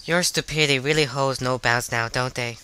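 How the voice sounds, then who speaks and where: Man voicing a sharp, snappy young anime sorceress. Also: mid-range voice, fairly even intonation, fairly quick, clear, resonant tone, no room sound, natural voice. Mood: bitter sarcasm. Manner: snappy, sarcastic, foul-mouthed. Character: hot-tempered, sarcastic young sorceress, bossy and violent. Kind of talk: parody anime fan dub.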